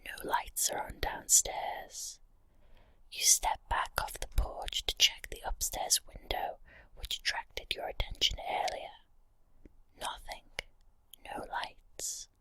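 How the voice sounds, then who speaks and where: A woman whispering a scripted ASMR guided story in a breathy, unvoiced voice, in short deliberate phrases with pauses. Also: sharp tone. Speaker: ASMR narrator.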